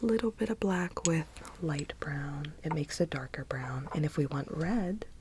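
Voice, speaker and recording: A woman whispering in a breathy, unvoiced voice, gently role-playing a consultant who explains things to a client in ASMR style. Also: low voice, normal speed, noisy background.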